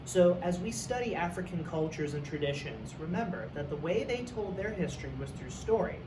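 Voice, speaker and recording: A man's voice: sits high, lively intonation, fairly quick. A man who works as a teacher, reading a story aloud for young students. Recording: echoey room, distant mic, faint noise.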